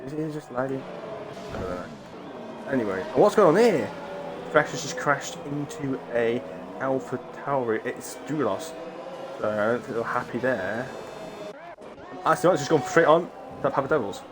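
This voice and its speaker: A man's voice: medium pitch, animated, moderate pace, long pauses, background noise. A man with a cough and a sore throat from a cold, giving rambling, chatty live commentary on an online racing league and often breaking off mid-thought. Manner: rambling, chatty, self-interrupting. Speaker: race commentator.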